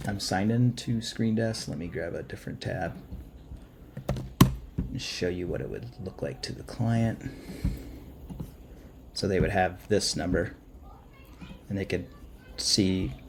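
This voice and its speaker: A man giving a casual, unscripted software walkthrough, informal and halting as he thinks aloud at his computer. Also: on the low side, creaky, a little hiss or hum. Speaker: software tutorial presenter. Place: indoors at a computer.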